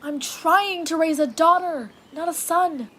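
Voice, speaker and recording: A young woman playing a fussy, strict wife and mother in a high school radio drama. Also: unhurried, a bit bright. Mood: stern.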